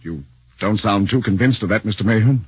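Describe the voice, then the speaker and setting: A man's voice: fairly low pitch, brisk, darkish tone, husky, no room sound. An actor playing an anxious, pleading accused man, agitated and stammering, in a 1940s radio drama. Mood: shaken. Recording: dull 1940s radio recording.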